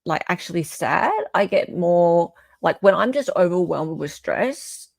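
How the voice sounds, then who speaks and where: A woman's voice: fairly low pitch, lively intonation, measured pace, gravelly, no room sound. An Australian woman hosting a live stream in casual, opinionated chat, often checking for agreement as she goes.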